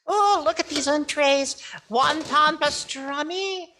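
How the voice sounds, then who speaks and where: A woman's voice: high-pitched, takes it slowly. A woman playing a grumbling, wisecracking ex-convict treasure digger in a radio comedy sketch. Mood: excited. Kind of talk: 1940s radio comedy acting. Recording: very noisy home microphone with clicks.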